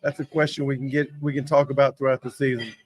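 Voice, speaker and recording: A hoarse man hosting a casual sports talk show from a sports bar, rambling and getting worked up over play calls. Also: mid-range voice, flat delivery, quick pace, no echo. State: hoarse from yelling. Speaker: host.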